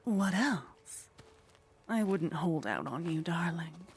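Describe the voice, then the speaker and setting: A woman playing a teasing, condescending female vampire in a soft ASMR roleplay, languid and haughty, often slipping into whispers. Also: fairly low pitch, lively intonation, takes it slowly, a little hiss or hum. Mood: coolly explaining. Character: teasing, condescending female vampire, sly, centuries-worldly contact.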